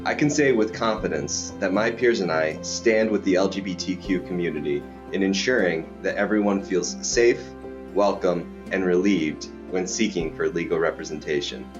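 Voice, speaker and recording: Deep-voiced young man, formal read. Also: moderate pace, music in the background. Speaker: law student and legal intern. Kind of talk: scripted promotional message. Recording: dull lo-fi recording.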